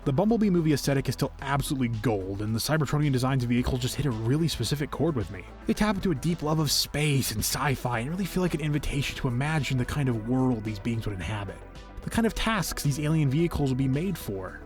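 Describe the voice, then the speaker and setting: A young man narrating a review in a casual, expressive, enthusiastic and conversational way. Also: mid-range voice, fast talker. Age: young adult. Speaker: video host, toy collector. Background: music.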